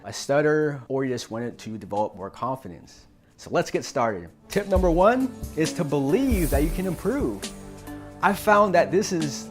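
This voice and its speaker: Earnest man giving encouraging advice. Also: a little high. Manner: earnest, encouraging, with occasional repeated words and restarts. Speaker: host of a self-help channel on stuttering. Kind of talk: motivational talk to camera.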